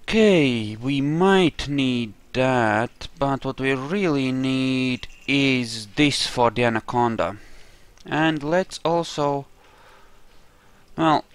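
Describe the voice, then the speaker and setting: A man's voice: medium pitch, slow pace, clean tone, no room sound. A man giving casual game commentary, chatty and thinking aloud as he plays. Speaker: gamer narrating a playthrough.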